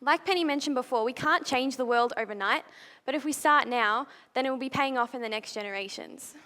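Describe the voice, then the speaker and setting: A woman's voice: sits high, brisk, bright, forceful tone. A woman on a panel, speaking earnestly and upbeat. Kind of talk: panel discussion. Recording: reverberant lecture hall.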